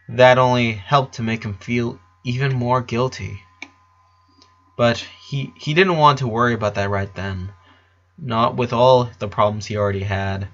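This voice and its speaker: Bright, forceful male story narrator. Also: medium pitch, lo-fi sound.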